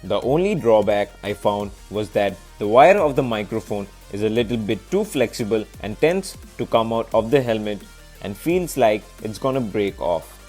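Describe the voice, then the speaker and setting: A man's voice: on the low side, expressive, normal speed, darkish tone. A man presenting a scripted product review as a video reviewer, in narration style. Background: background music.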